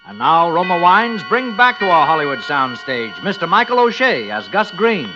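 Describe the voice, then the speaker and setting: Animated male 1940s radio announcer, muffled. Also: high-pitched, normal speed, music in the background. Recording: muffled vintage radio broadcast.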